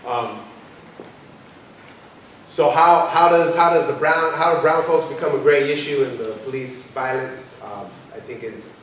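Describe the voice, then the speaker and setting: A man explaining in a reflective discussion, halting and repeating phrases for emphasis, recorded in a large room on a distant microphone. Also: medium pitch, a bit bright. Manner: halting, searching, emphatic repetition. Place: large indoor arts-center room. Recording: very echoey room, distant mic, background noise.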